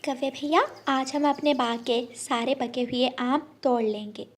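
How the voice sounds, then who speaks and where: A kindly little lady bird from an animated moral tale, voiced by a woman. Her voice is high and gentle. She speaks courteously, as a fair orchard owner would. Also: unhurried. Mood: friendly. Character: kind, polite female songbird orchard owner.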